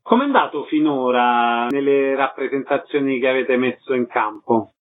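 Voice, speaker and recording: A man's voice: medium pitch, expressive, moderate pace. A man hosting on the radio, giving announcements and asking interview questions. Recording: narrow phone-like radio sound.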